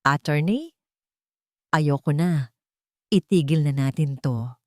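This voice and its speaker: A woman's voice: sits low, takes it slowly, no echo, studio quality. A woman voicing a distraught, protective older sister in a dramatic story, trembling and desperate. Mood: tearful, desperate. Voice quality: trembling, breaking.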